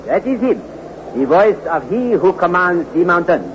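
Sly Mexican mountain guide, animated and higher-voiced, ingratiating broken English, muffled noisy vintage radio drama. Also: male voice, moderate pace. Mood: ominous. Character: sly Mexican village guide, falsely simple and friendly. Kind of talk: 1960s radio suspense acting.

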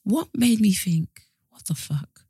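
A woman in her twenties co-hosting a podcast, telling stories in an animated, exasperated way. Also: mid-range voice, measured pace, husky, no room sound, studio quality. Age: 20s. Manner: animated storyteller, exasperated asides. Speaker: podcast co-host. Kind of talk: casual chat.

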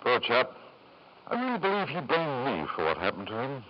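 An actor playing a powerful middle-aged tycoon in a radio drama, with halting, smooth-talking insistence. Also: male voice, medium pitch, wildly swooping pitch. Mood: hesitant charm. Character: powerful, self-satisfied middle-aged British tycoon, hesitant in charm. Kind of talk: 1950s radio drama acting. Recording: dull, noisy 1950s radio recording.

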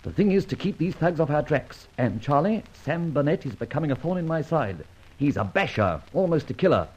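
A muffled old radio adventure serial recording. a daring, quipping gentleman rogue, cool and jaunty. His voice is husky and swings widely in pitch. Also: sing-song, fairly quick. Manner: breezy, quipping. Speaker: actor.

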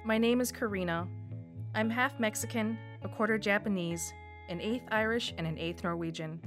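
A woman with a Wisconsin accent tells her own story in a reflective, scripted way. Her voice sits at an average pitch for a woman. Faint music plays underneath. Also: normal speed. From Wisconsin, USA. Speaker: radio story contributor. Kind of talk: scripted first-person narration.

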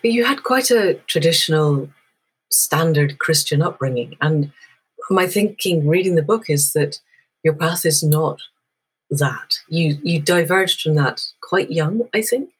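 A woman's voice: low-pitched, animated, measured pace, slightly rough, poor audio. A woman hosting an interview, asking curious, encouraging questions. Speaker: podcast host.

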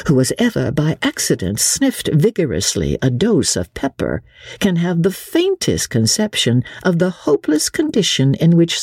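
A woman's voice: low-pitched, darkish tone, breathy edge, dry recording, studio quality. A woman reading an audiobook as dramatic narration, in a theatrical, suspenseful manner.

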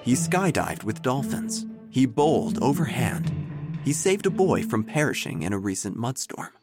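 An actor playing an eccentric small-town obituary writer, narrating an audio drama in a solemn, deadpan, theatrical way. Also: male voice, mid-range voice, normal speed, over loud music. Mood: wry storytelling.

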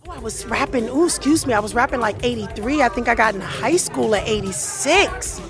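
High bright woman rapper, giggly and excited, backstage with music going. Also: a little fast. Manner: excited, laughing, recites old rap lines. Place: noisy backstage room.

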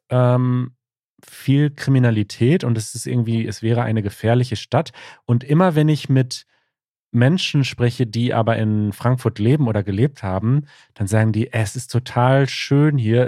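A man's voice: medium pitch, moderate pace, warm tone, no room sound, clean studio sound. Casual male podcast co-host. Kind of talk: casual conversational podcast chat.

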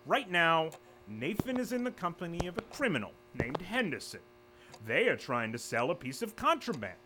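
An actor playing a smooth male corporate official who speaks with false politeness and quiet threat. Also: on the high side, big pitch swings, moderate pace, background noise. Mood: smooth menace. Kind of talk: audio drama acting.

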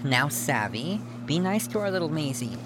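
A woman's voice: low voice, big pitch swings, normal speed, over loud music. A woman playing a domineering, smugly patronizing senior TV producer in a naturalistic audio drama. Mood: smug, belittling.

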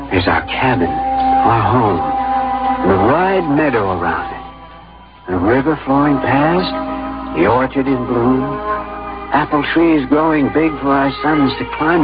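A man's voice: medium pitch, natural voice. An actor playing an earnest, devoted young frontiersman in love and grief, speaking with an American accent in 1950s radio drama style, tender and impassioned. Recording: muffled old radio broadcast, music behind.

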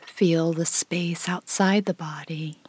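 Slow, breathy woman guiding meditation. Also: on the low side, fairly even intonation, dry recording. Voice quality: soft, breathy, often near whisper. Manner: unhurried, gentle, with long pauses. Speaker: meditation guide. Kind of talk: guided meditation, slow calm instruction.

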